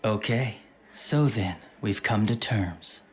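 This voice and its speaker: A man's voice: on the low side, takes it slowly. A man playing a brilliant, arrogant teenage schoolboy in an anime audio drama, clipped and self-assured. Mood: confident.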